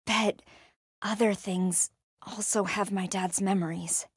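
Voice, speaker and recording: Wary young woman, game heroine talking to herself: breathy, unhurried, sardonic. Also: mid-range voice, fairly even intonation, no echo, studio quality. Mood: hushed wonder. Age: young adult. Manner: muttering to herself, hushed, breathy. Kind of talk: video game voice acting.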